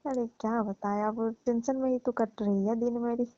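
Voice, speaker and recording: A woman's voice: a little high, steady tone, moderate pace, dark tone, no echo, close to an everyday voice. A woman playing a needy, wary middle-aged divorced mother in a casual phone conversation, talking hesitantly and colloquially with a complaining note. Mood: weary. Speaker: actor in a staged phone call skit. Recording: muffled lo-fi phone call recording.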